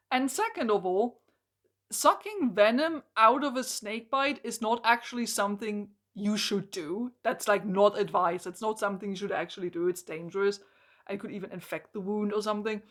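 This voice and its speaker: A hoarse woman reviewing a book in an exasperated, emphatic rant, full of "like" and restarts. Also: medium pitch, moderate pace, a bit bright.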